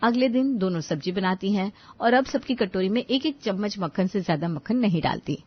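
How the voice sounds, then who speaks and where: A woman's voice: mid-range voice, lively intonation, brisk, breathy edge, muffled recording. A woman reading scripted narration for a moral story as its narrator.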